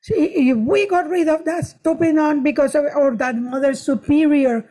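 High-voiced woman. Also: moderate pace, low-quality recording. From Nicaragua. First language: Spanish. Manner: animated storytelling, frequent restarts and laughs. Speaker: guest comedian. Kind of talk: casual interview chat.

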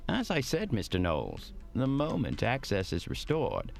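An actor playing a polite, fussy, squeamish hotel manager in an audio drama, speaking in a formal, deferential and prissy way. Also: male voice, fairly low pitch, wildly swooping pitch, moderate pace, vocal fry, soft background music, exaggerated voice. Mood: polite, soothing. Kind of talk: scripted audio drama acting.

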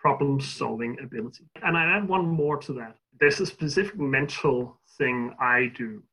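A man for whom English is not his first language gives interview answers with wry, self-deprecating humor. His voice is bright and tense, with a husky edge. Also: medium pitch, normal speed, low-quality recording. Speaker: guest author.

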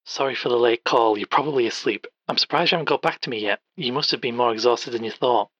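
A man's voice: mid-range voice, fast talker, fairly bright tone, no room sound, lightly acted. A man playing an earnest, informal field reporter leaving chatty phone messages in an audio drama. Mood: friendly, concerned. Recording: dull, dry, lo-fi phone message.

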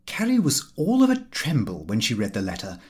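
A man reading a comic diary aloud in an expressive, theatrical way. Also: medium pitch, a little fast. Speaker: audiobook narrator. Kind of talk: scripted literary narration of a comic diary.

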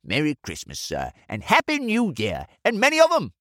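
A man's voice: high voice, huge pitch swings, normal speed, a bit bright, no room sound, studio quality, pitched above the performer's own voice, heavily acted. A man voicing a cheery, garrulous old male servant in his eighties, deferential and proud, with rustic speech, in a storybook reading. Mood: deferential, merry. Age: older.

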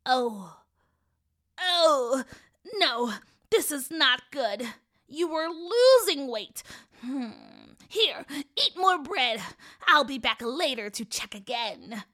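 Woman acting out a mean, high-pitched old woman. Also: big pitch swings, a bit bright, heavily acted. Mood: perplexed, displeased. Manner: drawn-out, exclamatory, scolding. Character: mean, impatient old woman captor, furious and frustrated.

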